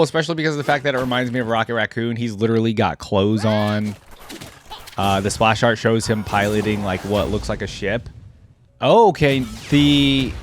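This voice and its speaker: A man's voice: medium pitch, a little hiss or hum. A man giving casual reaction commentary as a gamer, thinking aloud in an informal way. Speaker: gamer reacting to game character skins.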